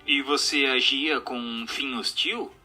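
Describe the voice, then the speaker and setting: Man as a forceful, formal séance questioner. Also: medium pitch, fairly even intonation, takes it slowly. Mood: inquisitive. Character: formal, inquisitive séance questioner and narrator, male. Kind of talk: scripted radio drama dialogue and narration. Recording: music under the voice.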